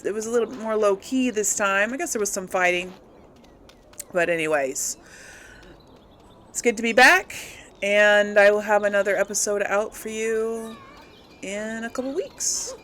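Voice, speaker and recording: A woman's voice: medium pitch, normal speed, a bit bright, a little hiss or hum. A woman giving casual, unscripted game commentary to viewers, rambling a little as she thinks aloud. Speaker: let's play host.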